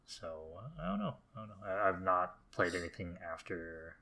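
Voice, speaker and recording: A man's voice: low voice, big pitch swings, normal speed, vocal fry, bright, forceful tone. A man talking casually as a co-host on a podcast. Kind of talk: casual chat.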